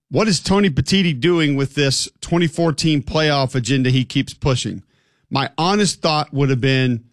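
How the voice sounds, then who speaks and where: A man's voice: medium pitch, dry recording. Opinionated male sports talk host, close dry sound. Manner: opinionated, emphatic, rhetorical questions. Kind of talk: conversational sports talk commentary.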